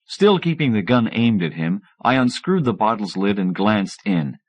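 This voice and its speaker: Middle aged guy reading an audiobook novel, kinda low voice. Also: lively intonation, moderate pace. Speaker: audiobook narrator. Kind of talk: scripted first-person novel narration with acted dialogue.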